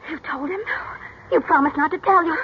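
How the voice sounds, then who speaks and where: An actress playing a spoiled, scheming grown daughter in a melodramatic 1940s radio drama. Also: female voice, high-pitched, fairly quick, natural voice. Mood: pleading. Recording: dull old 1940s radio recording.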